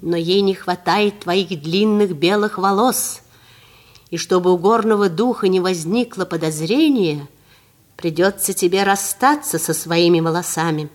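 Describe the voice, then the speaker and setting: Fairy-tale old man, tall and mighty, kindly and reassuring, very unhurried, read by a woman. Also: fairly low pitch, natural voice.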